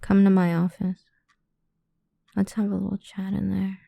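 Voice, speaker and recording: A woman playing a cruel, condescending female boss in an ASMR roleplay, speaking deliberately and often slipping into a whisper close to the microphone. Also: mid-range voice, level tone, dry recording, lo-fi sound. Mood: stern, menacing. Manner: condescending, deliberate, drawn-out delivery with breathy whispers. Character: cruel, domineering female boss toying with an employee. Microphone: close microphone, dry.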